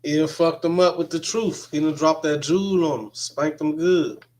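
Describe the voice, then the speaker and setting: Casting: male reaction streamer, slightly high voice, loose slangy commentary, repeats phrases. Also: normal speed, a little mellow. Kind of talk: casual running commentary.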